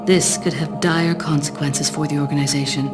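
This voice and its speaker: An actress plays a self-assured woman spy in a game cutscene. Her voice sits low and stays detached and measured, guarding every thought. Music plays clearly behind her. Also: fairly even intonation, close to an everyday voice. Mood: measured, analytical. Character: cool, self-assured female secret agent. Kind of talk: video game cutscene acting.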